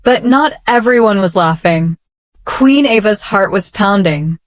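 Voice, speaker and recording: Female storyteller for children's bedtime tales, slow and deliberate, medium pitch, very dry close recording. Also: lively intonation, no room sound.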